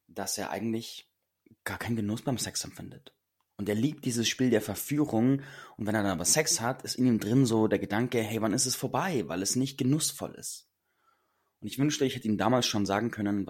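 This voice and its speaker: A man with a low, husky voice that sounds a bit hoarse talks earnestly and reflectively to his listeners as a podcast host. He leans into words with emphatic repetition, and the rasp in his voice never quite clears. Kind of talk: solo podcast talk.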